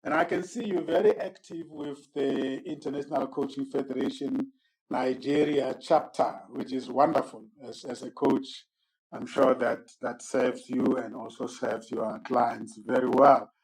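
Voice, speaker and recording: A man hosting a formal podcast interview. He is courteous and measured, often repeating words as he introduces his guest. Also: medium pitch, steady tone, darkish tone, rough recording. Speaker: host and moderator.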